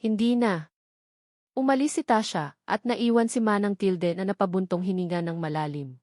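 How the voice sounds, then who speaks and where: A woman narrates a scripted drama in the first person. Her voice sits at a middling pitch. The recording is dry, with hardly any room sound. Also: dry recording. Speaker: story narrator.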